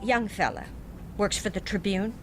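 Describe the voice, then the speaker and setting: An actress playing a rambling, apologetic employee, in a hesitant, folksy manner for an audio drama. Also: female voice, mid-range voice, moderate pace, over music, lightly acted. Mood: hesitant, confiding.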